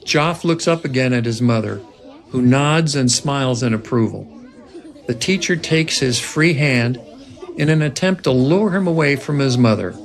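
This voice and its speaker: Mid-pitched narrator reading screenplay directions. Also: lively intonation, moderate pace. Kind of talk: scripted screenplay narration at a table read.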